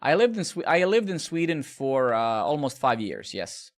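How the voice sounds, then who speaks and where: A middle-aged man from Serbia talks through his code on a live stream. His comes through in loose, rambling, profane chat aimed at his viewers, in a voice sitting at an ordinary middle pitch. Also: big pitch swings, normal speed. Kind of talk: casual live-coding chat.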